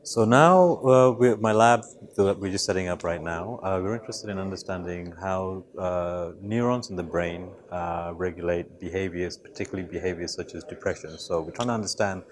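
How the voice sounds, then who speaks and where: A man's voice: low voice, normal speed, few pauses, noisy background. A man who was born in Malaysia and raised in the UK, a biology professor answering interview questions thoughtfully, with many fillers and restarts. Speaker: biology professor and lab head.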